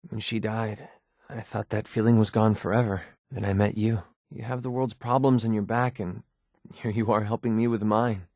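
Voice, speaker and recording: An actor playing a guarded, wry and short-tempered young mercenary with a tender side in a video game, speaking informally. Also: male voice, lowish voice, steady tone, a little mellow, no room sound, clean studio sound. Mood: hesitant, sorrowful, tender. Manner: informal, halting when emotional. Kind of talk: scripted video game character dialogue.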